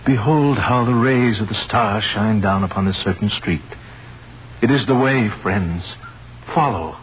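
Grave, reverent wise man leading pilgrims, played by a male actor, very low voice, old radio drama, tinny narrow sound. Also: a little slow, lightly acted. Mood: commanding. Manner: grave, reverent, archaic and declamatory. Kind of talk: 1930s radio acting. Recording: very tinny, noisy 1930s radio recording.

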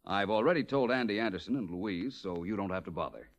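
An actor playing a suave, probing gentleman detective, polished and deliberate, in a 1940s radio drama. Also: fairly low pitch, lively intonation, close to an everyday voice. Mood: brisk, pointed. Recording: dull old radio recording.

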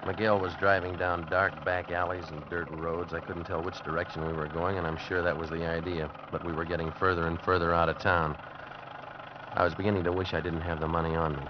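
A man's voice: low-pitched, little pitch movement, brisk, noisy background, close to an everyday voice. An actor playing a wary, streetwise American man narrating a risky shady deal in a vintage radio drama. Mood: tense, brooding. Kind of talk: 1940s-50s radio drama acting, hardboiled first-person narration. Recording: dull vintage radio recording with music behind.

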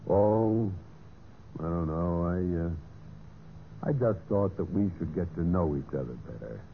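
A man's voice: deep voice, lively intonation, slow pace, gravelly, slight background noise, heavily acted. An actor playing a scheming, nervously evasive husband who narrates his murder plot in an old radio mystery. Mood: falsely friendly. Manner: hesitant, stalling with uhs when speaking to others. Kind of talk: 1940s-50s radio mystery acting with first-person narration. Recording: tinny band-limited vintage radio recording, music.

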